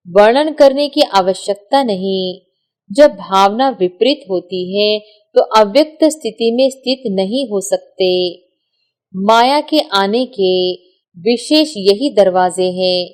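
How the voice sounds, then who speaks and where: A woman reads a spiritual discourse from a script. Her delivery is very slow and deliberate, broken into short phrases with pauses, at a medium pitch for a woman. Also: darkish tone. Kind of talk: scripted devotional reading.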